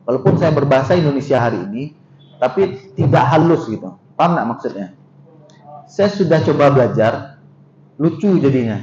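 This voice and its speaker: A man's voice: on the high side, a little mellow. A middle-aged man with a Minangkabau accent giving a seminar lecture, talking conversationally and putting questions to the audience. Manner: conversational, asks the audience questions, frequent filler "eh" and "gitu". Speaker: university vice rector giving a seminar talk. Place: seminar room. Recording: dull roomy hall recording with faint noise.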